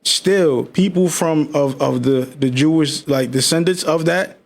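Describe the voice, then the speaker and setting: A man co-hosting a talk show, arguing his point fast and heatedly in casual slang. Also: fairly high pitch, gravelly. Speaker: podcast co-host. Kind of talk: casual debate chat.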